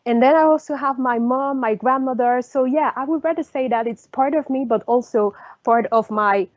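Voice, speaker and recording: A woman's voice: high voice, normal speed, no room sound. A woman in her forties from Cameroon, answering interview questions in a reflective way, thinking aloud with some hesitation. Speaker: guest. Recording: dry, dull lo-fi audio.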